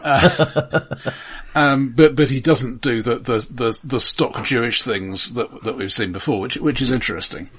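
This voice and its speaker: A man's voice: mid-range voice, unhurried, fairly bright tone, husky. A man co-hosting a film podcast, discussing in a casual, analytical way and carefully qualifying his opinions.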